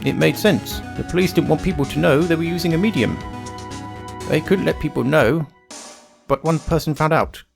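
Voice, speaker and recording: A man's voice: a little high, moderate pace, gravelly, over music. A man playing an older lawyer in a voiced game drama, grave and regretful as he confesses. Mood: ashamed, grave.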